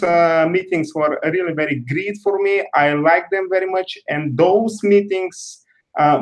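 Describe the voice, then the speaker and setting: Man, careful hesitant answers. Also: on the high side. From Bulgaria. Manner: careful, hesitant with many pauses. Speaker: guest. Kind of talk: interview answers.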